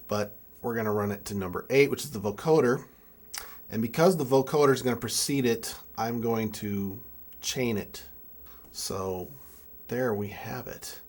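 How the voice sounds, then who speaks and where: A man in his twenties giving a casual hands-on tutorial, thinking aloud in a halting way as he works. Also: lowish voice, creaky. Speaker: music keyboard tutorial presenter.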